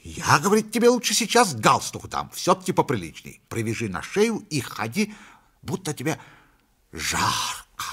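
Man playing a slangy pal with lively pitch. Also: moderate pace, husky, full character voice. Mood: earnest. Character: slangy helpful male pal giving absurd advice. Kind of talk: comic storybook reading.

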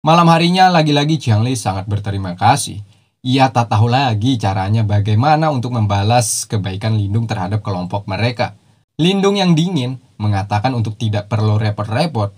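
A man's voice: fairly low pitch, lively intonation, brisk, darkish tone. A man narrating a cartoon series recap in a casual, slangy voice-over, dropping teasing jokey asides.

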